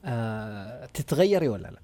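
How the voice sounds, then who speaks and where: A man's voice: mid-range voice, big pitch swings, moderate pace, warm tone. A man hosting a radio call-in show, asking callers questions and listening patiently.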